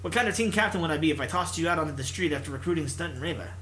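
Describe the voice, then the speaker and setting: A man's voice: a little high, animated, rapid-fire, a bit bright, slight background noise. A man reading the part of a brusque, demanding female esports team captain in a visual novel, curt and commanding. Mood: defiant.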